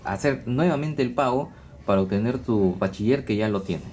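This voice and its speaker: A man with a Peruvian Spanish accent interviewing calmly, murmuring agreement often. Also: medium pitch, moderate pace, slight background noise. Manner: calm, frequent backchannel murmurs. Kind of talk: interview questions.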